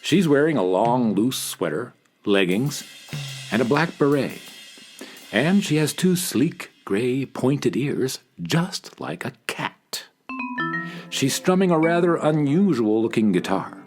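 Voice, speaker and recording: A man's voice: medium pitch, sing-song, slow, faint music behind. A man narrating a children's radio play as a scripted storyteller, addressing young listeners.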